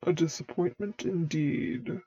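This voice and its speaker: A woman voicing a grave but gently fond masked dark lord in a fan fiction audiobook, with low, measured, formal speech. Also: expressive, no echo, highs cut off. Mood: gently teasing.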